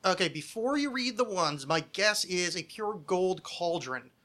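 A man's voice: high-pitched, animated, measured pace, sharp tone. An American man hosting a podcast with casual, rambling comedic chat, self-deprecating and freely swearing. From United States. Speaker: podcast host.

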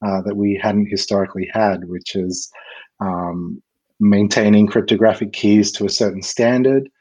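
A man, a guest expert, explaining a technical subject in a measured way with many ums and uhs, speaking over a video call. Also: fairly low pitch, fairly even intonation, darkish tone, low-quality recording. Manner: measured, with frequent um and uh fillers.

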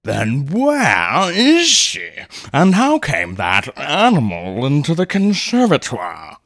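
A man voicing an indignant older colonel who booms and drags out his words. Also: expressive, no echo, studio quality. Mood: outraged. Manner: loud, outraged, drawn-out.

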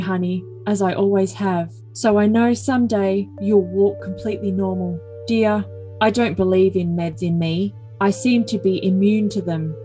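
A woman's voice: medium pitch, warm tone, natural voice. A woman voicing a doting, controlling, self-important boyfriend in an overly dramatic reading of messages.

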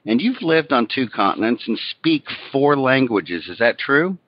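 A man's voice: medium pitch, very animated, normal speed, no echo. A man hosting a podcast, asking questions and complimenting his guest in a friendly way. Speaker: podcast host. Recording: dry, dull lo-fi audio.

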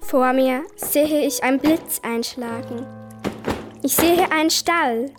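Girl, 10 to 12, high-pitched, unhurried scripted story reading, music behind. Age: child, 10 to 12. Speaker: young workshop participant reading own story. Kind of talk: scripted reading for a radio play.

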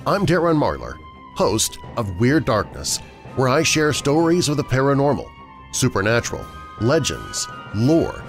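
A man delivers a scripted promo as a podcast host. He is theatrical and ominous, his pitch swooping dramatically over faint music. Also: huge pitch swings, measured pace.

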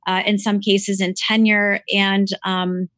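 A woman's voice: medium pitch, little pitch movement, slow, fairly bright tone, dry recording, studio quality. An American woman from Ohio who works as a theological librarian, speaking hesitantly with many ums in an interview. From Cleveland, Ohio, USA. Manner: hesitant, many ums and restarts, laughs easily. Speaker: guest, theological librarian and faculty member. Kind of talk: casual interview conversation.

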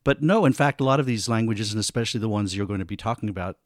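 A man's voice: fairly low pitch, rapid-fire, dry recording, studio quality. A man interviewing an author, asking long, winding questions. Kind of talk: podcast interview.

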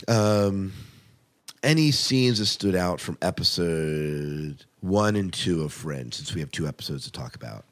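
A man's voice: deep voice, animated, unhurried. A man hosting a podcast, chatting casually and enthusiastically about television as a keen fan. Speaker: podcast host. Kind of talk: casual TV review chat.

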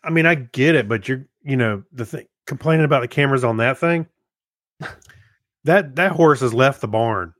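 A man co-hosting a podcast in casual chat, talking in a halting, repetitive, folksy and joking way. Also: mid-range voice, a bit bright, no room sound, clean studio sound. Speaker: podcast co-host.

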